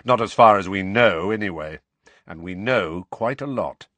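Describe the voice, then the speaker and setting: A man's voice: low-pitched, moderate pace, fairly bright tone, no echo. A man voicing a defiant, protective grown man in an audiobook, speaking firmly and bluntly. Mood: frank. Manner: firm, blunt, informal.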